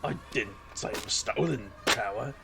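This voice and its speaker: A man acting a wry, awkward detective magician in an audio drama, with a gravelly voice and dry, halting delivery. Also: on the low side, noisy background. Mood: guarded.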